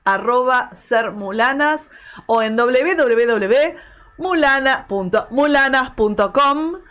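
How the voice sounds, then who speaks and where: An Argentine woman with a fairly high voice hosts a live radio show. She talks conversationally, thanking guests and addressing her audience. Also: lively intonation, slow pace, a bit bright. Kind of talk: live talk show chat and sign-off.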